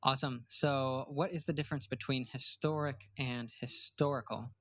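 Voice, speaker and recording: A man's voice: mid-range voice, fairly even intonation, normal speed, bright, forceful tone, dry recording. Upbeat man hosting a podcast. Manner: upbeat, enthusiastic. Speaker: podcast host. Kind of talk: podcast hosting and promo read.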